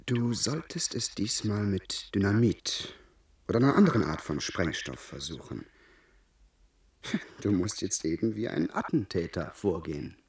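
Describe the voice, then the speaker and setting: An actor playing a sly confidant in a German radio play, coaxing and insinuating in a deliberate manner. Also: male voice, lowish voice, very animated, reverberant, distant mic. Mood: sly scheming. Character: sly, scheming confidant egging a gentleman on.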